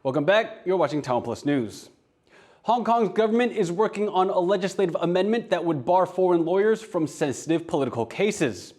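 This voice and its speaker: A man anchoring a television news program, reading scripted stories in a crisp, formal way. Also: high voice, expressive, fairly quick, darkish tone, soft background music.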